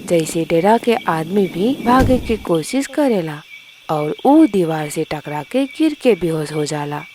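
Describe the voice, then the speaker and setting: A woman's voice: lowish voice, expressive, takes it slowly, warm tone, slight background noise. A woman reading a scripted fairy tale as narrator, in a dramatic storytelling style.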